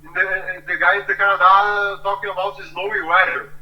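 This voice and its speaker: A man, speaking halting English and repeating words on a video call. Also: high voice, little pitch movement. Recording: dull, echoey distant call audio.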